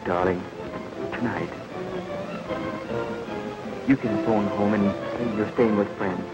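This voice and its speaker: An actor playing a smooth-talking, lustful young man in 1930s film melodrama style. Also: lowish voice, fairly even intonation, slow. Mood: coaxing. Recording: dull old film track with loud music.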